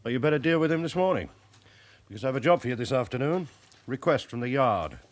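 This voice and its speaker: Man playing brusque British police chief, medium pitch. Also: lively intonation, fast, natural voice. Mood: businesslike, commanding. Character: brusque, commanding male senior police boss. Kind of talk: naturalistic British radio drama acting.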